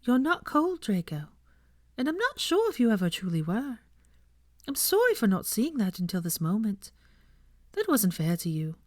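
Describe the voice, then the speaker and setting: A woman voicing a gentle, dreamy young woman with a soft, wistful way of speaking in a dramatic story reading. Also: a little high, huge pitch swings, moderate pace, long pauses, no echo, studio quality, pitched above the performer's own voice. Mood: tearful, earnest.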